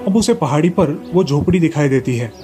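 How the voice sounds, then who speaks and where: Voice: medium pitch, animated, brisk, warm tone, soft background music. A narrator reading a scripted moral story in storybook style.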